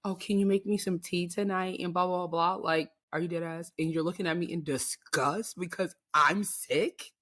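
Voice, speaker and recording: Woman co-host, deep hoarse voice from a cold, laid-back casual chat. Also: steady tone, moderate pace, hardly any pauses, fairly bright tone. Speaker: podcast co-host.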